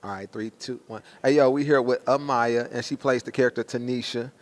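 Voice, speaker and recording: A man's voice: mid-range voice, fairly even intonation, brisk. A man interviewing guests in a casual hype style, full of slang and frequent "man" and "yo" as he promotes a stage play.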